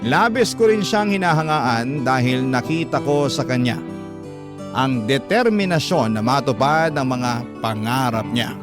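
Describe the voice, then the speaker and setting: Man acting as devoted young man, radio drama narrator, sincere, reflective, medium pitch, loud plucked-guitar backing. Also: animated, measured pace, lightly acted. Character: earnest, devoted young working man recounting his love story. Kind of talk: scripted first-person radio drama narration.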